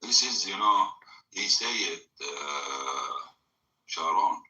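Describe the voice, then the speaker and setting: Emphatic man, bright tense voice. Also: low-pitched, lively intonation, takes it slowly, poor audio. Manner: broken English with many fillers, emphatic. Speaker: storyteller recounting family memory. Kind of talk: emotional personal storytelling.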